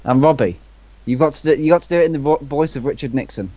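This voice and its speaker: A young man bantering casually with friends, blustery and quick to interject. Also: a little high, dark tone, dry recording. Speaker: amateur author reacting to his story. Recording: dull, lo-fi voice chat.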